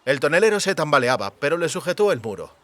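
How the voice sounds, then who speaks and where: Male narrator for fantasy audiobook, slightly high voice with a forceful, bright tone. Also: very animated, quick pace, no echo. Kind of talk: scripted literary narration of fantasy novel.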